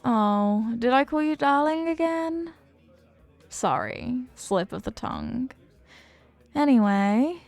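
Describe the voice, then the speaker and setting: A woman's voice: high-pitched, lively intonation, takes it slowly, darkish tone, dry recording, full character voice. Flirty, teasing woman acted out, music behind. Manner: teasing, coy, sweet-talking. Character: flirty, confident, playful woman charming a stranger at a party. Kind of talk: intimate roleplay audio acting.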